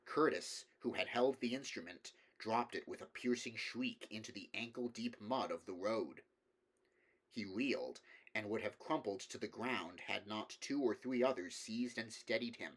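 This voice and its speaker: A man reading literary horror fiction aloud, with measured, deliberate dramatic narration. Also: fairly low pitch. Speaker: audiobook reader.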